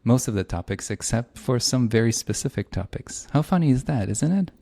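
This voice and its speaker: A man's voice: mid-range voice, lively intonation, a little fast, vocal fry, mellow. A man from Poland, a podcast host musing thoughtfully in conversational interview style. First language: Polish. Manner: thoughtful, laughs lightly, reflective.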